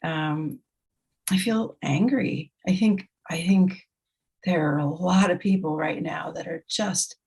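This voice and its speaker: Low, even-voiced woman, slightly husky. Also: slow. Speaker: guest poet. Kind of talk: conversational interview answers.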